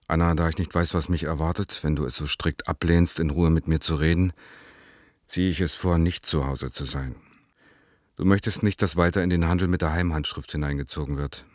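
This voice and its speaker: An actor playing a resentful man reading a measured, accusatory letter to his partner in a radio play. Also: very deep voice, no room sound, studio quality. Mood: reproachful.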